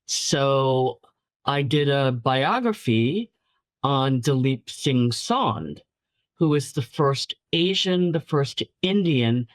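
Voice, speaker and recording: A woman's voice: extremely low pitch, animated, takes it slowly, no room sound. A woman from New York City whose first language was Chinese, telling stories in an interview and repeating words for emphasis. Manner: warm storyteller, repeats words for emphasis, laughs lightly. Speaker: guest filmmaker. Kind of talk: casual interview talk.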